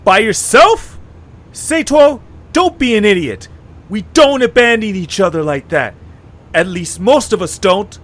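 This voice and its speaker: An actor playing a hot-headed young leader in a fan audio drama, forceful and bossy. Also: male voice, high-pitched, expressive, a little slow. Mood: commanding. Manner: forceful, commanding and angry.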